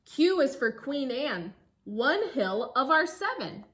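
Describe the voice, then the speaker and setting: A woman teacher reading a rhyming picture book aloud to children in a singsong way, pausing with short asides to engage her listeners. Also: high-pitched, very animated, fairly bright tone, slight background noise.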